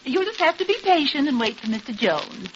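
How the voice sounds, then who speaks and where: An actress playing a chatty, dithery shopkeeper's wife in an old-time radio comedy. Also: female voice, high voice, very animated, a little fast. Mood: blithe. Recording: very noisy, muffled vintage radio recording.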